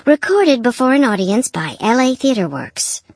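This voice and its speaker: A woman with a fairly high, lively voice reads a scripted drama introduction as announcer. The sound is close and dry. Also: moderate pace, creaky, no echo. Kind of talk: scripted introduction to a recorded play.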